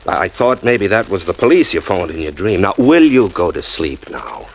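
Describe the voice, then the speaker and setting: An actor playing a teasing, exasperated young husband, quick to grumble, in 1940s radio acting style. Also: male voice, low-pitched, sing-song, gravelly, heavily acted. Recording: muffled old radio recording with hiss.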